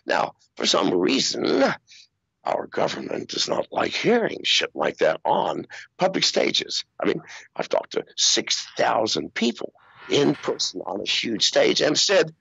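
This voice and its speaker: An older man telling stories in an interview over a video call, swearing freely and talking in an animated way. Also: medium pitch, a little slow, gravelly, breathy edge, no echo, dull audio. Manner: profane, animated storytelling with laughs. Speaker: interview guest. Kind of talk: casual interview storytelling. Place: small room with acoustic foam walls. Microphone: video call wearing over-ear headphones.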